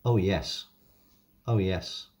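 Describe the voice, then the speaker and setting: A man gives a casual hands-on demonstration, thinking aloud in an unhurried, hesitant way. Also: sits low, a little mellow, breathy edge. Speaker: hobbyist video presenter.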